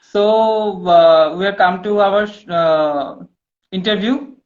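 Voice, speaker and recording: High-voiced host, slow broken English. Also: male voice, phone-quality sound. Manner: broken English, repeats words, laughs often. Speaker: podcast host. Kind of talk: casual interview chat.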